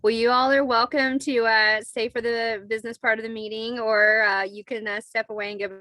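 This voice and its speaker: Bright-voiced woman running a meeting. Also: on the high side, level tone, fairly quick. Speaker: meeting facilitator. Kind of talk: semi-formal online meeting talk. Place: video call.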